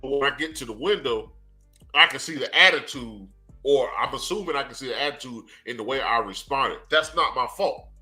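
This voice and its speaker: A man co-hosting a podcast in casual chat, talking in an animated, emphatic, joking way. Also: mid-range voice, brisk, faint music behind, low-quality recording. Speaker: podcast co-host.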